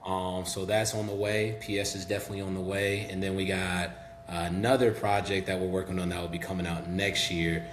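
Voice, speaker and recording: Southern man, low voice, echoey room. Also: fairly quick. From Memphis, Tennessee. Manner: relaxed, talkative, sprinkled with "um" and "you know". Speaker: guest rapper. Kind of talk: casual podcast interview. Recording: echoey room with faint hum.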